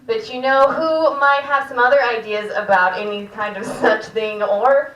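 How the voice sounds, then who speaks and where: A woman's voice: on the high side, moderate pace, fairly bright tone. A woman hosting a live stand-up show, bantering casually between acts. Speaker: comedy show host. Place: small live comedy room at a cafe. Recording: echoey room, distant stage microphone.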